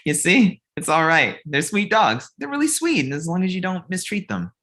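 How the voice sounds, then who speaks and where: Man acting as kindly young roommate, earnest, coaxing, very lively intonation, comedy table read. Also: high voice, normal speed, exaggerated voice. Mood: manic reassurance.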